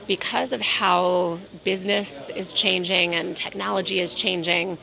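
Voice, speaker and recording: A hoarse woman speaking in an interview at a conference, talking in a measured, businesslike way and slowing down to stress her points. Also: on the low side, fairly even intonation, pushed and bright, low-quality recording. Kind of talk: casual interview. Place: at a conference in New York City.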